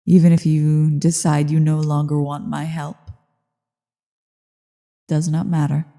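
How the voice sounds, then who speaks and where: Woman voicing a slow, gravelly, menacing she-dragon. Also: deep voice, flat delivery, dark tone. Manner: imperious, deliberate, condescending. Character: ancient evil female dragon, haughty, menacing, newly woken. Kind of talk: ASMR fantasy roleplay. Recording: long cavernous reverb.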